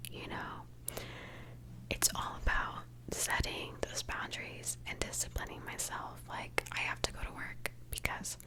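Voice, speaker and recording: A young woman from Mexico, an ASMR creator, whispering a candid, chatty question-and-answer session. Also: rough recording.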